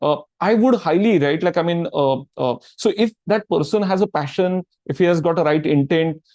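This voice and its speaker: A man's voice: a little high, normal speed, darkish tone, dry recording. A middle-aged man, answering questions in a casual, rambling way. He keeps dropping "right, like" and "I mean" between his points. The sound is dry and close. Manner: filler-heavy, repeats "right, like". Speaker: guest speaker answering questions. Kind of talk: casual Q&A talk.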